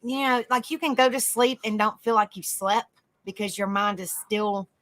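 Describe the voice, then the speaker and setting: A woman hosting a casual livestream, chatting softly with viewers by name and reading notes aloud. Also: mid-range voice, moderate pace. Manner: soft, easygoing, chats with viewers by name. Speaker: livestream host.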